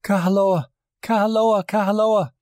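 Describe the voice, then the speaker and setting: A man's voice: mid-range voice, steady tone, takes it slowly, darkish tone, breathy edge, no room sound, clean studio sound, pitched above the performer's own voice. A man voicing frantic, grief-stricken island women in an audiobook story, urgent and distraught. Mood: screaming, hysterical.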